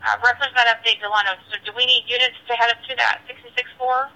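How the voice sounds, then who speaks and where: Brisk female dispatcher on police radio. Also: a little high, flat delivery, bright, forceful tone, low-quality recording. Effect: two-way radio.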